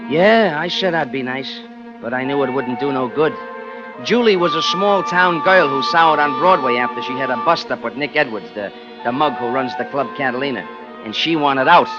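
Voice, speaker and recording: An actor playing a bitter, scheming former cab driver turned gag writer, slangy and wisecracking, in 1940s radio drama style. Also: male voice, medium pitch, animated, normal speed, natural voice. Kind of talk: 1940s radio drama acting, first-person narration and dialogue. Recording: muffled old radio broadcast with music.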